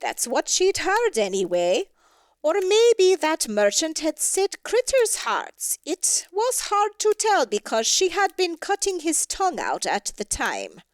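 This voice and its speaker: A woman reading a story aloud in an expressive, theatrical and wry storytelling manner. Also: very high-pitched, slow, no room sound. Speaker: story reader. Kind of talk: expressive scripted storybook narration.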